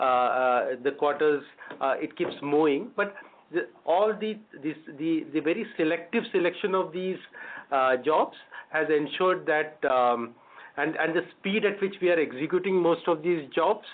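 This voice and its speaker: A man speaking as a company executive answering questions on an earnings call, halting and often repeating words as he builds his answers. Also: fairly high pitch. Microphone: phone or conference line. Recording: phone-line conference call audio.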